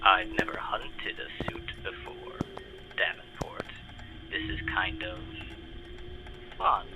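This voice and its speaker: An actor playing a psychotic male special agent who hunts his prey with glee, whispering slowly and menacingly over a radio. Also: low voice, steady tone, poor audio. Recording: audio drama with music bed.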